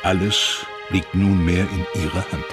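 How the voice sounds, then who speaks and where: A man with a deep voice narrates a historical documentary. His delivery is scripted, and music runs clearly beneath his words. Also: steady tone, a little slow.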